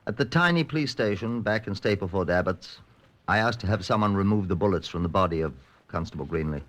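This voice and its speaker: Low-voiced British police chief inspector. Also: male voice, expressive, fast talker, a bit bright. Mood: measured narration. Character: composed, methodical male Scotland Yard chief inspector. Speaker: lead actor and narrator in a radio crime drama. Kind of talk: old-time radio drama acting.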